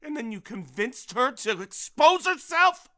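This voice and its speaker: An American man acting in an audio drama as a middle-aged, menacing, vengeful father, speaking with deliberate, controlled menace. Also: very high-pitched, sing-song, sharp tone, exaggerated voice. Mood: furious.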